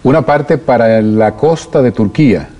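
Male TV host, mid-pitched and mellow, guides the talk with questions and recaps. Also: unhurried, lo-fi sound. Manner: leading questions and summaries. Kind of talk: TV interview.

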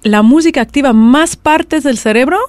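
A woman's voice: high-pitched, normal speed, no room sound. A woman with a mixed Spanish, Argentine and Mexican accent, a language teacher chatting in an interview and telling stories with lots of restarts. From California, USA. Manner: chatty, animated storytelling with restarts. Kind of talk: conversational interview.

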